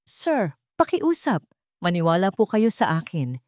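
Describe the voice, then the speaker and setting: A woman's voice: fairly low pitch, big pitch swings, a little slow, darkish tone, no room sound, clean studio sound. A woman voicing a timid young female office employee, nervous and pleading, in a romantic audio drama. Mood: tearful pleading.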